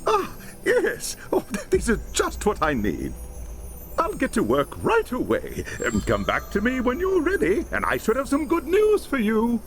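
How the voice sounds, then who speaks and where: An actor playing an eager, awkward male scholar in a fantasy video game, with a hesitant, excitable manner. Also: extremely high pitch, a little slow, exaggerated voice. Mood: delighted. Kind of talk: video game character acting. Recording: loud music behind the voice.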